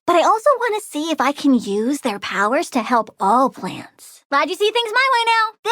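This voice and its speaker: A girlish plant supervillain from a video game, pitched very high and swooping freely between chirpy delight and sulky threat. She is perky, odd and cheerfully menacing, and the recording is close and dry. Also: no room sound. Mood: eager, explaining. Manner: cutesy, playful, swinging from chirpy excitement to sulky menace. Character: childlike plant-girl supervillain, perky, eccentric, gleefully menacing. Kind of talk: video game character voice lines.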